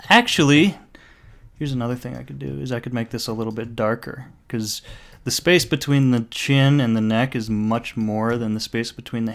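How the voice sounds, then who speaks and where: Male tutorial narrator, heavy vocal fry, offhand and jokey, wanders off topic, mid-range pitch. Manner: rambling, jokey self-talk with mild swearing. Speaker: software tutorial maker. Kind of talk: casual screen-recorded tutorial.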